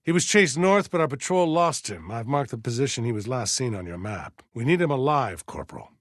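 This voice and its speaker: An actor playing a stern, pious, authoritarian militia colonel in a video game, middle-aged and husky, giving measured orders with righteous solemnity. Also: male voice, mid-range voice, expressive, no echo, clean studio sound, close to an everyday voice. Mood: stern, commanding. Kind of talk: dramatic video game voice acting.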